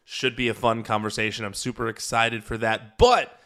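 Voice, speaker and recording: A man's voice: medium pitch, fairly even intonation, normal speed, sharp tone. A man hosting a podcast alone, introducing a best-of episode in an earnest, rambling way with frequent restarts. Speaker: podcast host.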